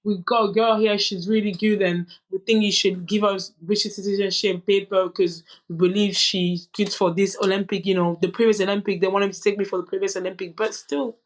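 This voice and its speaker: A woman's voice: mid-range voice, fairly even intonation, brisk, few pauses, rough recording. Young woman, French native, earnest podcast guest, many restarts. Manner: earnest, many restarts and "you know" asides. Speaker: guest, boxer. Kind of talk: candid conversational storytelling.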